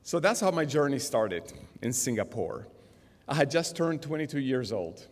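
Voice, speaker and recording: Middle-aged guy w a venezuelan accent doing a graduation speech, big hall reverb, takes his pauses. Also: medium pitch, very animated. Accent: Venezuelan Spanish. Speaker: graduation speaker, business executive. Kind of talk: formal commencement speech. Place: large indoor auditorium stage. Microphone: podium gooseneck microphones. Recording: long hall reverb from auditorium PA.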